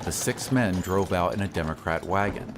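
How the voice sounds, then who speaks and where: A man giving a grave, measured narration of a scripted horror story in an audio drama. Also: deep voice, very noisy.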